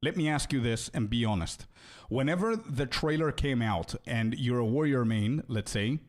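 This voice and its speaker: A young man streaming a video game and chatting casually with viewers, loose and friendly, often calling them bro and repeating words as he thinks out loud. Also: mid-range voice, expressive, normal speed. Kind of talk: casual live-stream chat, answering viewers.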